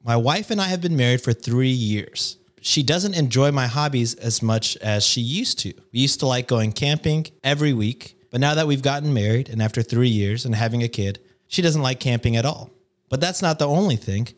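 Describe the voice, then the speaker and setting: Male podcast co-host, mid pitch, joking and casual, reads stories. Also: normal speed. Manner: jokey, teasing, playful. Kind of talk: casual chat.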